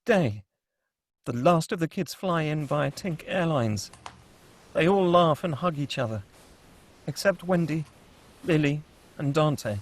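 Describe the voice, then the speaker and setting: A man's voice: fairly high pitch, no room sound, studio quality. A man narrating screenplay stage directions in a scripted table-read style.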